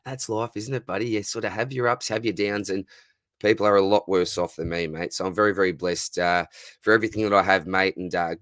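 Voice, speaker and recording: An Australian man hosts a live fantasy football stream in a husky, worn voice, greeting viewers by name and calling them mate and buddy. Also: on the low side, dry recording, clean studio sound. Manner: friendly, matey, calls viewers mate and buddy. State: husky, voice going. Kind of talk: casual live stream chat reading viewer comments.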